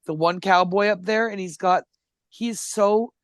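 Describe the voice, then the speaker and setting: Profane Albertan man, higher voice. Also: measured pace, clean tone, no echo, studio quality. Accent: Albertan Canadian. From Alberta, Canada. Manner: profane, laughs often, animated storytelling. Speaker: podcast co-host. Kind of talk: crude casual chat.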